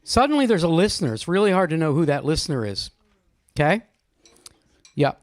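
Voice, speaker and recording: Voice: mid-range voice, sing-song, brisk, no room sound, studio quality. A host leading a group discussion at a live gathering, speaking conversationally and teasingly, calling on people by name. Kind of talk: informal live moderating, with some poetry reading. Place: indoor room at a live gathering.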